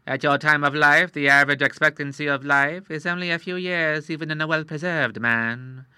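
Man doing a shrewd moneylender, smooth, measured, crisp bright dry sound. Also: on the high side, steady tone, dry recording, pitched above the performer's own voice. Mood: cool. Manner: measured and coolly persuasive. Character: shrewd, smooth male moneylender, middle-aged.

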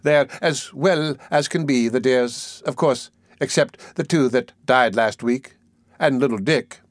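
A man voicing a two-faced woman who keeps pauper children in a storybook reading, both obsequious and sharp-tongued. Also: a little high, lively intonation, normal speed, dry recording, studio quality. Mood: fawning. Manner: obsequious to superiors, scolding to children. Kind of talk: audiobook character acting.

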